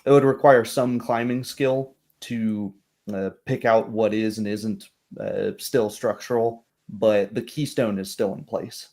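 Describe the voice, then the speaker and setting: Low-voiced male game master. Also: fairly even intonation, a little slow, a little mellow. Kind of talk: narrating a tabletop roleplay game.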